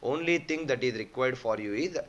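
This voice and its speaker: A man's voice: mid-range voice, a little fast. Man teaching math, lo-fi sound. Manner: explains step by step, repeats points, addresses viewers as sir. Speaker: online exam-prep teacher. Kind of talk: live-streamed math lesson. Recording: dull lo-fi audio with faint noise.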